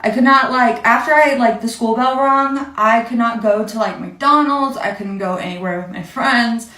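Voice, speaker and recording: A woman's voice: on the high side, normal speed, sharp tone. A young woman telling a casual personal story in a conversational, self-deprecating way, peppered with "like". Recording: roomy echo, distant from mic.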